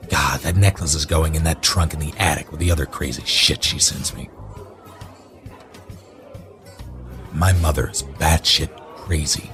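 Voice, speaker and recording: A man's voice: very deep voice, fairly even intonation, moderate pace, fairly bright tone, soft background music. A man playing an exasperated, placating husband in a horror audio drama, speaking in a weary manner. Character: Ben, exasperated, doomed husband working on finances.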